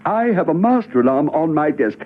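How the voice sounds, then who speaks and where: An actor in a radio drama playing a fussy, irritated office official who speaks formally, curtly and defensively. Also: male voice, a little high, sing-song, a little fast. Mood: proudly insistent. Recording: thin phone-like old radio recording.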